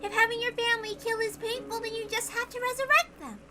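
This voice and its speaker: A woman's voice: very high voice, steady tone, quick pace, bright, forceful tone, soft background music, voice pushed up. A woman voicing an eerie childish girl who speaks in a sing-song, matter-of-fact way about morbid things, in a dramatic reading. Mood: blissful, eerie. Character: eerie childish girl gleefully obsessed with a witch's resurrection.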